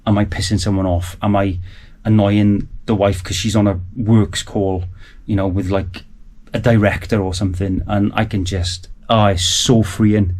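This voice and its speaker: A man in his forties talks to camera in an excited, rambling way. His voice sits fairly low. He is recording in a small sound-proofed home studio. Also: moderate pace. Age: 40s. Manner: enthusiastic, rambling. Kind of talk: casual chat to camera.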